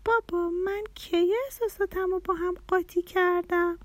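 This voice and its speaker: A woman voicing an emotional, excitable little girl butterfly who is quick to sulk, in a children's story. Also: very high voice, no echo, pitched above the performer's own voice. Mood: puzzled.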